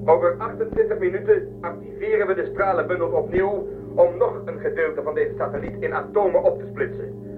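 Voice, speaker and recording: An actor playing a formal, matter-of-fact, commanding starship captain who threatens coolly in a science-fiction radio play. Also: male voice, high voice, brisk, phone-quality sound, lightly acted. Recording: distant, band-limited transmission with music behind.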